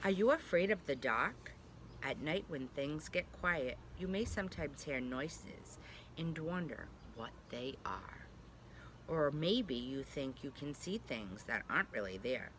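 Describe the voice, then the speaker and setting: A woman's voice: low-pitched, animated, measured pace, background noise. A woman pre-K teacher reading a picture book aloud to young children, speaking simply and directly to them with little check-in questions.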